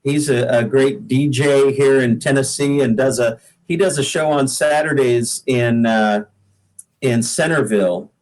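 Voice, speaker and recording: A man from the Pacific Northwest, a singer-songwriter, chatting casually as an interview guest in a halting, repetitive way. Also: medium pitch, darkish tone, rough recording. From Pacific Northwest, United States.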